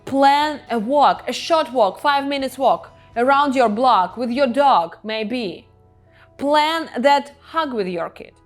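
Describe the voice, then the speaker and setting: A woman's voice: high voice, lively intonation, slow, soft background music. A woman from Ukraine with a Ukrainian accent, giving earnest, emphatic self-help coaching to camera in non-native English. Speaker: self-help vlogger and hypnotherapist. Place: indoors, filming herself.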